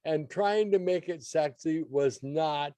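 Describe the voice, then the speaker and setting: A man's voice: fairly high pitch, slow, warm tone, no room sound. A man giving opinionated banter as a radio guest critic, blunt, emphatic and curmudgeonly.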